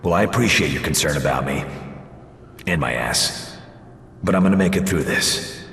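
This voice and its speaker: An actor playing a cocky, wisecracking macho action hero in a video game, with a wry, exasperated manner. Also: male voice, very deep voice, moderate pace, gravelly, a bit bright, echoey room, long reverb tail, soft background music, full character voice. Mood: wry, determined. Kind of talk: video game cutscene acting.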